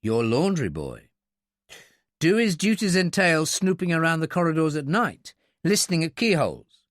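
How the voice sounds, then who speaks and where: A man's voice: fairly high pitch, sing-song, normal speed, no echo, pitched above the performer's own voice. A man voicing a weary, steely army captain in an audiobook, with a curt, dry, firm delivery. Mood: curt, patient.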